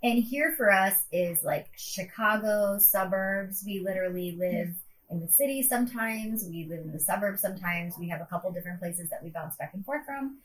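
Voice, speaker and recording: A woman's voice: mid-range voice, normal speed, rough recording. Chatty woman co-host, casual and upbeat, faint hiss in the background. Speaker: podcast co-host, teacher. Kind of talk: casual podcast chat.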